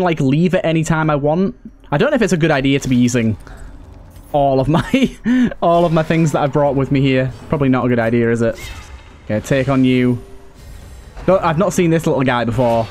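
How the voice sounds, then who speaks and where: A man's voice: on the high side, a little fast, a little mellow, soft background music. A man giving casual let's play commentary as a gaming host, thinking aloud and often repeating his phrases. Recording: clear background game ambience. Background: video game ambience and effects.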